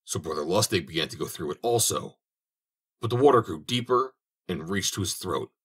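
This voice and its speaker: A man's voice: low voice, moderate pace, slightly rough. A man reading a fairy tale aloud as an audiobook narrator, telling it expressively. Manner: expressive storytelling. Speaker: audiobook reader.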